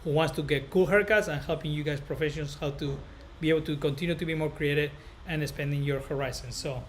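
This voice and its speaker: A man from northern Spain with a Spanish accent, hosting a casual live stream as a barber educator and chatting to viewers in a rambling, halting way, often repeating himself. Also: fairly high pitch, fairly even intonation, slight background noise.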